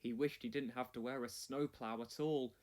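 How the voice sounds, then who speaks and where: A man's voice: mid-range voice, fairly even intonation, moderate pace, a little mellow. A man reading scripted narration for a children's storybook read-along.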